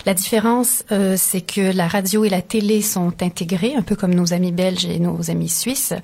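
Husky Canadian French woman, hesitant. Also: lowish voice, steady tone, normal speed, warm tone. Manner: thoughtful, many hesitations and restarts. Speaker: radio station director, guest. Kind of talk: radio interview.

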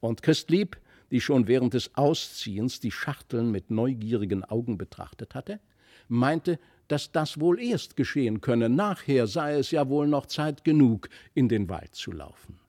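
A man's voice: mid-range voice, expressive, measured pace, dark tone, no room sound, studio quality. A man narrating a literary fairy tale expressively, as an audiobook reader.

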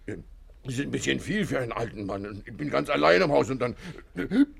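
A man's voice: medium pitch, a little fast, gravelly. An actor playing a timid, whining old man who stammers and repeats himself in a 1950s German radio play. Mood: agitated, plaintive. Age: older. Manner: stammering, repeating words, plaintive. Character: flustered, whining harmless old grandpa, timid and anxious.

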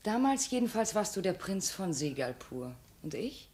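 An actress playing a dignified, reproachful young slave who was once a princess, in a formal 1950s German radio play style. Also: female voice, mid-range voice, big pitch swings, moderate pace, darkish tone, husky. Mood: bitter. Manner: formal, pointed, composed.

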